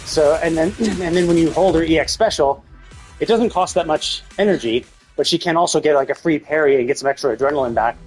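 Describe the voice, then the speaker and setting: A man's voice: a little high, a little fast. Rambling male streamer thinking aloud. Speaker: live game streamer. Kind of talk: casual live gameplay commentary. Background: game music in background.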